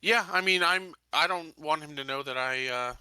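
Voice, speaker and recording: A man's voice: fairly high pitch, moderate pace, crowd noise. A man playing a tabletop roleplaying game, chatting casually and thinking aloud with some hesitation.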